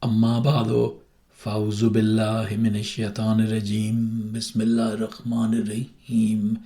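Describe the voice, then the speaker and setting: Formal, flat-pitched man making an announcement. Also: rapid-fire. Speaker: programme announcer. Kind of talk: formal Arabic invocation and scripted introduction.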